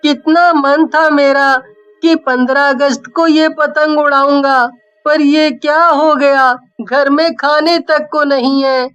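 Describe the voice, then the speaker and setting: Little schoolboy, acted by a woman, extremely high voice, slow deliberate pace, earnest, wistful, children's story cartoon. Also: steady tone, a bit bright, muffled recording. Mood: sad, resolute. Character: earnest poor young schoolboy, caring and wistful. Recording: music behind the voice.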